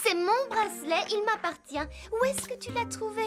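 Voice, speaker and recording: A woman's voice: extremely high pitch, lively intonation, normal speed, music in the background. A woman voicing a suspicious, haughty young girl in a dubbed cartoon, speaking in a pointed, accusatory way. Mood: accusing. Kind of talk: dubbed animated series acting.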